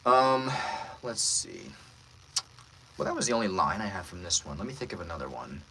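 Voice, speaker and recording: A man's voice: deep voice, very animated, normal speed, bright, forceful tone, slight background noise. A man who works as a voice actor, hosting a casual livestream and politely answering viewer comments with self-deprecating asides.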